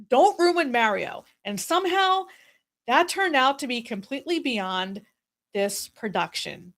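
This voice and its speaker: A woman narrating a scripted video essay as host, speaking emphatically in short punchy phrases with dramatic pauses. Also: fairly high pitch, fairly bright tone.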